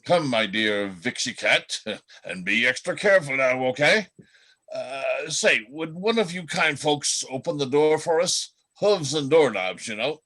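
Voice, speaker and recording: A man's voice: a little high, animated, measured pace, pushed and bright, heavily acted. A man playing a jovial, affectionate reindeer husband in a folksy radio play style. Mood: tender, excited. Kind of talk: reader's theatre radio play acting.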